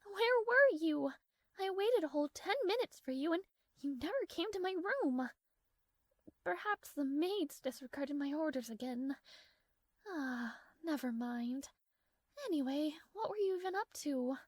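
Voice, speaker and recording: A high, girlish voice for a tsundere princess played by a woman, recorded dry and close to the ear. She is haughty and formal, guarded but fond, and easily flustered as she addresses the listener in a romantic audio roleplay. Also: expressive, measured pace, dry recording. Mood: flustered, imperious.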